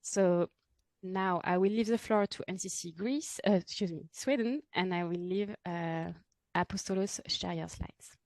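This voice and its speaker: A woman moderating an online workshop, speaking haltingly with many fillers and self-corrections over a video call. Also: lowish voice, darkish tone, no room sound. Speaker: webinar moderator. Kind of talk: introducing a workshop session.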